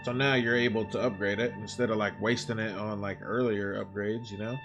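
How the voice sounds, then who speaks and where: A man's voice: on the low side, little pitch movement, a little fast, highs cut off. A man livestreaming in casual, sweary bro slang, chatting and composing a story aloud. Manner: informal, profane, bro slang. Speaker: live-streaming writer and gamer. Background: background music.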